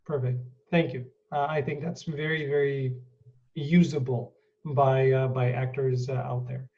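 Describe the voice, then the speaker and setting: A man hosting an interview, affirming his guest and pausing often with fillers. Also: medium pitch, little pitch movement, far from the mic, highs cut off. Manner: affirming, halting with many fillers. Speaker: interview host. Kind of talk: conversational interview.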